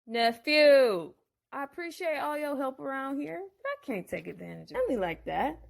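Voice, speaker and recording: A woman voicing a scheming older uncle who talks smoothly in slang and hums over his deals, in a storybook audio drama. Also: high-pitched, big pitch swings, normal speed, voice pushed up, heavily acted. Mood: bargaining. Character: scheming older male uncle, sly dealmaker.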